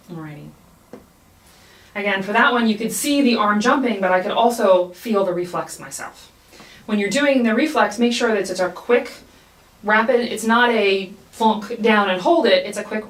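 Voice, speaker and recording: A woman's voice: mid-range voice, fairly bright tone. A woman giving an explanatory hands-on clinical demonstration as an instructor, repeating key points. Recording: echoey room, somewhat distant mic.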